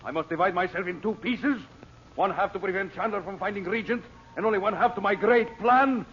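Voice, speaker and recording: An actor playing an imperious, scheming master villain in an old radio serial, speaking in formal, commanding orders. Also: male voice, sits high, a little fast, a bit bright. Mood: raging. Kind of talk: 1940s radio serial acting. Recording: muffled, noisy old radio recording.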